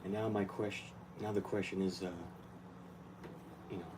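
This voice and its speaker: Quiet low-voiced man rambling into a phone. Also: little pitch movement, normal speed, far from the mic, noisy background. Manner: quiet, rambling, many fillers and restarts. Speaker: aquarium hobbyist vlogger. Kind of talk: casual talk while working on a tank. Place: indoor room at home. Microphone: phone mic, off camera.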